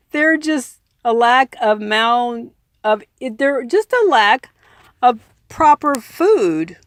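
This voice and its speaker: A woman's voice: fairly high pitch, very animated, extremely slow, no room sound, clean studio sound. A woman reading a book aloud earnestly, in an informal audiobook style with personal asides. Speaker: reader and commentator.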